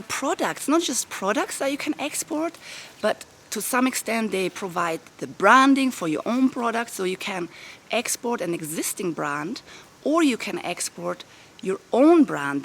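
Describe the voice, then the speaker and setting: A woman with a rough voice, giving upbeat step-by-step business advice to camera from a garden outdoors. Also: medium pitch, slight background noise. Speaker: business coach. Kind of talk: casual talk to camera. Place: garden of a private villa, outdoors.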